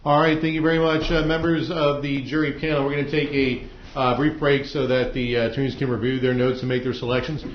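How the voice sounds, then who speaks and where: A man talks quickly in an echoey, slightly muffled courtroom recording. He is a judge addressing a jury panel informally, punctuating his instructions with uhs. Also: medium pitch, flat delivery, a little hiss or hum. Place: indoor courtroom.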